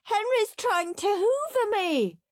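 A woman's voice: high-pitched, huge pitch swings, moderate pace, no echo, studio quality, voice pushed up. A woman voicing a prim, goody-goody little boy who is eager to please, in a children's audiobook. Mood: wailing. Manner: sing-song, prim.

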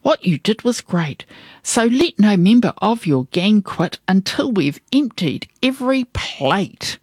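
A woman's voice: medium pitch, big pitch swings, unhurried, darkish tone, husky, dry recording, studio quality, pitched above the performer's own voice. A woman voicing a baker's motherly, generous mum, soothing and gracious, in a storybook verse. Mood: grateful.

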